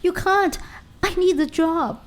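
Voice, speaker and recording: Actress as a very high-voiced, meek seamstress. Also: female voice, slow pace. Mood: anxious, pleading. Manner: polite, pleading. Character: meek, earnest, kindly young seamstress and club worker. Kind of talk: radio play acting.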